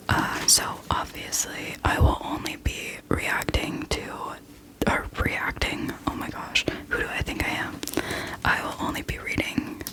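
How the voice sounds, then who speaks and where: A woman hosting a casual whispered ASMR book chat, speaking in a soft, breathy whisper with a hesitant, self-deprecating manner. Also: poor audio. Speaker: book video host.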